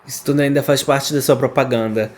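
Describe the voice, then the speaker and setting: A man's voice: mid-range voice, steady tone, fast. A man with a Brazilian accent giving casual, teasing, sarcastic commentary as he plays a game. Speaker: gaming YouTuber.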